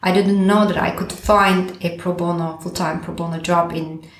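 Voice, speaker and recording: Woman from Turkey, pro bono director, chatty and animated in an echoey room. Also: fairly low pitch, normal speed. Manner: animated, thinks aloud with many fillers and restarts. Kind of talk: conversational podcast interview.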